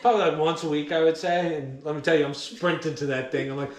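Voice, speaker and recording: A man's voice: fairly high pitch, fairly even intonation, fast, far from the mic, background noise. A young man hosting a podcast in casual, joking, self-mocking chat. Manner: jokey, self-mocking, laughs through his sentences. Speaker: podcast host. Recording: roomy echo.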